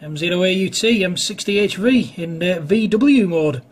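High-pitched husky man on the radio. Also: normal speed. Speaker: amateur radio operator filming a test. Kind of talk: casual two-way radio chat. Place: indoors at a home radio desk.

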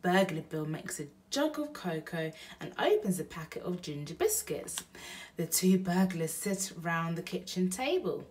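Animated young woman reading a bedtime story. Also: lowish voice, measured pace. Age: young adult. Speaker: storyteller mother. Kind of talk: children's bedtime story read aloud.

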